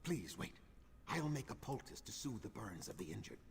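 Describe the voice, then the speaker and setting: Gravelly, earnest lizard-man healer. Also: fairly low pitch, big pitch swings, in a large hall, background noise. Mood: earnest, guarded. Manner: formal, measured phrasing. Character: earnest male lizard-folk healer, wary outcast, courteous. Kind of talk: video game character acting.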